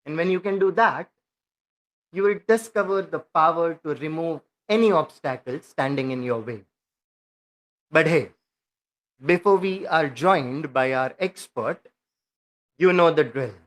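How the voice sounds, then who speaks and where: A man's voice: fairly high pitch, measured pace, long pauses. A man hosting a podcast, speaking earnestly and eagerly, with repetitive phrasing as he introduces his guest. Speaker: podcast host.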